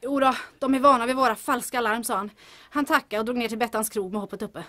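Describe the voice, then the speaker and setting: An actress playing a quick-witted, composed and cheeky heroine in a comedic audio drama, speaking in a brisk, wry way. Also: female voice, fairly high pitch, bright, forceful tone.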